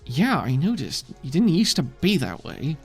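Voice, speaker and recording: A man's voice: a little high, huge pitch swings, moderate pace, breathy edge, soft background music, exaggerated voice. A man voicing a wry adult woman friend in a tabletop game, bantering dryly. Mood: teasing. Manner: dry, teasing banter.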